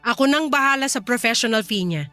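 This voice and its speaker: Kind filipina lady boss, high voice, earnest n reassuring, radio drama, soft music under it. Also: expressive, quick pace, no echo.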